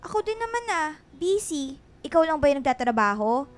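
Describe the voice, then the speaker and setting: A woman's voice: high voice, sing-song, normal speed. A woman playing a teasing, bossy young best friend in a Filipino radio drama, exclamatory and slangy. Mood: teasing, exasperated. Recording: music behind the voice.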